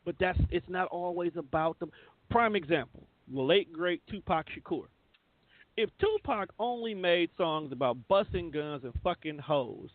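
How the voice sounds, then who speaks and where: Male talk show co-host, slightly high voice, insistent, casual, narrow phone-quality audio. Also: no echo.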